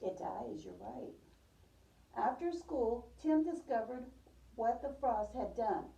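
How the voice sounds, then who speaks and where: A woman's voice: a little high, big pitch swings, slow pace, dark tone. A woman teacher reading a picture book aloud to a preschool class, in the manner of storytime with questions. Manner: repeats and affirms pupils' answers. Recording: roomy, distant microphone.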